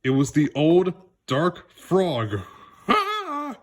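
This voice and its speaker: A man's voice: sits high, huge pitch swings, extremely slow, pitched above the performer's own voice, heavily acted. A man voicing a playful frog from a storybook who spins a spooky tale in a teasing, suspenseful manner.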